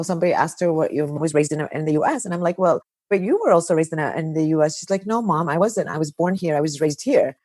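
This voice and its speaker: A woman in her thirties or forties, a podcast host and psychologist, talking in a chatty, animated way full of "you know" and "like". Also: sits low. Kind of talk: casual conversational interview. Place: living in Kuwait.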